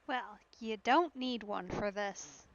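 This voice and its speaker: A woman giving casual let's play commentary as a streamer, musing gently and hesitantly. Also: medium pitch, takes it slowly, lo-fi sound. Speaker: streamer playing a game.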